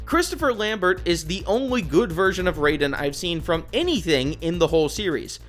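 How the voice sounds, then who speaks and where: Lively, casual video essayist over music. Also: medium pitch, a little fast. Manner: casual, opinionated, sweary. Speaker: video essayist, film reviewer. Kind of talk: scripted video essay narration.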